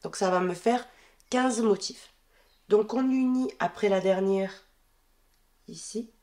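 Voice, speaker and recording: A woman with a stuffy head cold talks through a crochet pattern in a calm, even voice. She counts her stitches aloud as she works and keeps every step plain. Her voice sits at an ordinary middle pitch for a woman. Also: takes it slowly. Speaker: crochet tutorial host. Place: indoors at a wooden table.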